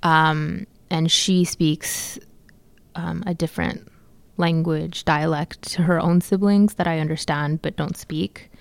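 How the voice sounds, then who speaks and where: A young woman from Canada, a filmmaker answering interview questions in a soft, hesitant way with many fillers. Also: low-pitched, steady tone, slow, gravelly, no room sound.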